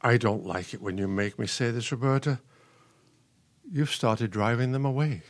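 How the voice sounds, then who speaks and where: A man's voice: medium pitch, moderate pace, close to an everyday voice. A man playing a patient, resigned retired professor, an older husband in a radio play, speaking in a dry, understated way. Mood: weary, reproachful.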